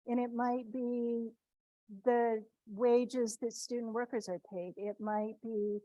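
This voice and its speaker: A woman's voice: a little high, flat delivery, slow pace, dark tone, no room sound, low-quality recording. A woman from the Midwest giving an informal talk to students, speaking conversationally with frequent restarts and tag questions.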